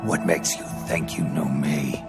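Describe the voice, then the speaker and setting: Very low terse soldier. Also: male voice, fairly even intonation, a little slow, over loud music. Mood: wary, questioning. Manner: terse, guarded. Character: terse, wary, battle-worn male soldier hero. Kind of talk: video game cutscene acting.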